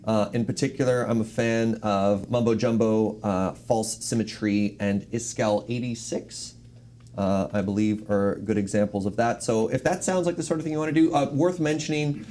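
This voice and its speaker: A man's voice: fairly low pitch, normal speed, mellow. A man speaking casually and off the cuff as a panel guest giving recommendations. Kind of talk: casual unscripted chat. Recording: faint room noise behind.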